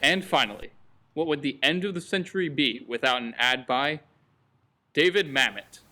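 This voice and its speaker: A man's voice: medium pitch, moderate pace, background noise, natural voice. A man playing a mock-grand radio advertisement announcer in a parody radio play, selling with theatrical polish. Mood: salesy.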